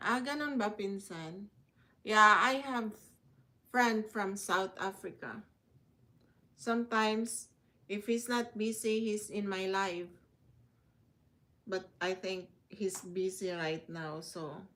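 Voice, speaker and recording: A woman's voice: medium pitch, fairly even intonation, takes it slowly, pauses often, bright, forceful tone. A Filipino woman, a native Tagalog speaker, hosting a casual livestream in a chatty, informal manner as she answers comments. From Philippines. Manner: chatty, informal, switching between Tagalog and English. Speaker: livestream host.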